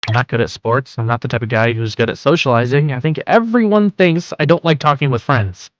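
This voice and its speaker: A man voicing a studious, modest teenage boy in a manga dub, calm, earnest and self-deprecating. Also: medium pitch, brisk, dry recording, highs cut off, natural voice. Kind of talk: fan manga dub with narration.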